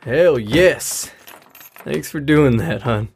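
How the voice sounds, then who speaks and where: A man's voice: medium pitch, lively intonation, slow, creaky, slight background noise. A man playing an affectionate, easygoing middle-aged widowed father in an audio drama, speaking casually and tenderly. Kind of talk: naturalistic audio drama acting.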